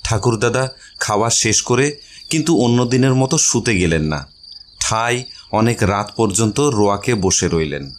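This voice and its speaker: A man's voice: lowish voice, lively intonation, normal speed, a little hiss or hum. A man reading a literary story aloud as its narrator, in a scripted narration style.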